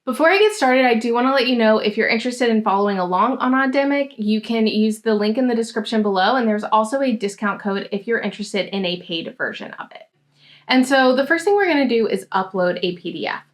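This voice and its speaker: Woman walking through software steps. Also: a little high. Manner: friendly, explanatory screen-demo talk. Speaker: science grad school coach. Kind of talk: software tutorial walkthrough.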